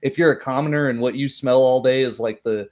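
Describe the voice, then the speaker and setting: A man's voice: medium pitch, fairly quick, darkish tone. A man chatting casually as a game master, thinking aloud and searching for his words. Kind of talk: casual chat.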